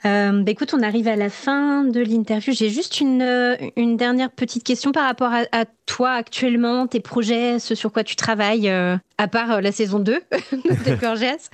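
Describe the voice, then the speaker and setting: A woman's voice: fairly high pitch, normal speed, no echo. A woman hosting a casual podcast interview in a conversational style.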